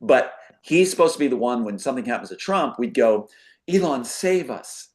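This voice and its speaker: A man's voice: medium pitch, animated, moderate pace, a little mellow. Emphatic, rambling man telling a story. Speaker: talk show guest. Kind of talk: casual conspiracy monologue.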